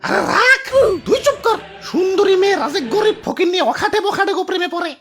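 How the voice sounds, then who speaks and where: A man's voice: extremely high pitch, expressive, quick pace, a bit bright, soft background music. A man playing a haughty, scornful rich orange fruit father in a comic cartoon, with a Bangladeshi accent and a pompous manner. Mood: scornful outrage. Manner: pompous, scolding, sneering. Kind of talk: exaggerated comic cartoon acting.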